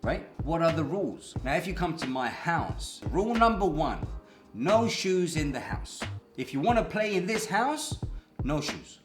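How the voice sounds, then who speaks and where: A man tells his court story in a casual vlog, agitated and fond of acting out quotes. His voice sits a little high. The room is echoey, with music playing underneath. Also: sing-song, unhurried. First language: English. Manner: agitated, swears, acts out quotes. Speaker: vlogger.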